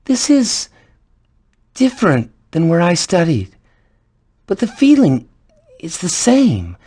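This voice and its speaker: A woman's voice: lowish voice, huge pitch swings, slow pace, warm tone, breathy edge, full character voice. A woman playing a cocky, flippant, bold spirit messenger in a fantasy game, with a sassy, theatrical delivery. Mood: upbeat, encouraging.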